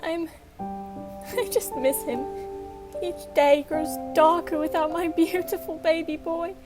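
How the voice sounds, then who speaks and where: A young woman acting a grieving mother on a school stage, speaking softly and tenderly. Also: extremely high pitch, steady tone, takes it slowly. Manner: soft, tender, restrained. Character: grieving mother, gentle and steady. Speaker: stage actor. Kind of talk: high school stage play. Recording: loud guitar music behind.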